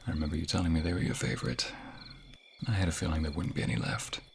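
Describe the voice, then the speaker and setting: Guy doing a loving king asmr roleplay, soft breathy murmurs n whispers, crackly fry, crickets faintly behind. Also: rumbling low, quick pace. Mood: warm, playful. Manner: tender, hushed, breathy murmuring, often whispering. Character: affectionate, flirtatious fantasy king speaking secretly to lover. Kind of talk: intimate ASMR romantic roleplay.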